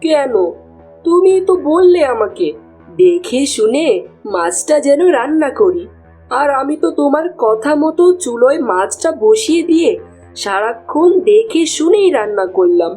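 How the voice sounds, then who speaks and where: Casting: actress as simple-minded, dutiful cartoon village wife, very high earnest voice, music underneath. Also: female voice, big pitch swings, unhurried, dark tone. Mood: puzzled, defensive. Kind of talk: Bengali cartoon dialogue.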